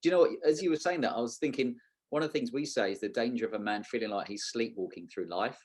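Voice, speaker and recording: A man's voice: medium pitch, fast, slightly rough. A man hosting a conversational interview podcast, thinking aloud in a reflective, tentative way. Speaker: podcast host.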